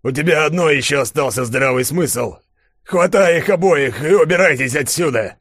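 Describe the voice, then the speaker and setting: A man acts out a dying spymaster father in an audiobook drama. He sounds hoarse and earnest, commanding yet full of remorse, in a mid-pitched voice recorded dry. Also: a little slow, fairly bright tone, no echo. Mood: urgent, commanding.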